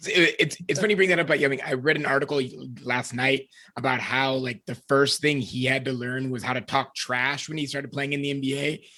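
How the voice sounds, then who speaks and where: A man's voice: mid-range voice, fairly even intonation, fairly quick, few pauses, sharp tone. A man chatting casually and informally as a guest on a podcast. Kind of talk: casual chat.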